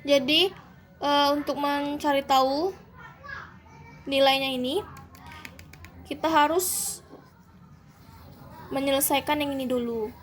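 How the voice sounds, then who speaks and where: A young woman, a university student, working through calculus problems step by step, pausing to correct herself. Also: high-pitched, steady tone, soft background music. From Indonesia. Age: young adult. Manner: methodical, step-by-step, self-correcting. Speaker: university student explaining homework. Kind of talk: calculus walkthrough.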